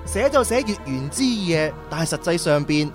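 A man's voice: fairly high pitch, sing-song, normal speed. An actor playing a jovial middle-aged policeman in a radio drama, exclamatory, with a Hong Kong Cantonese accent. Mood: explaining. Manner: exclamatory, hearty. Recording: music bed under the dialogue.

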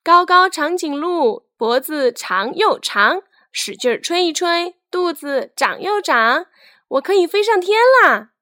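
A woman voicing a cute, eager little girl balloon from a storybook, with an excited, sing-song manner. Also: extremely high pitch, measured pace, no room sound, studio quality, voice pushed up, exaggerated voice. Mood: elated, chanting. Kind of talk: children's storybook character voice.

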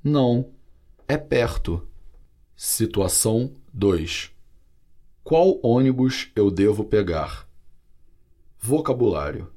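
A man with a Brazilian Portuguese accent reading vocabulary and dialogues for a language course, clear and carefully articulated. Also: medium pitch, lively intonation, slow pace, long pauses, warm tone. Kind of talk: slow scripted language-lesson reading.

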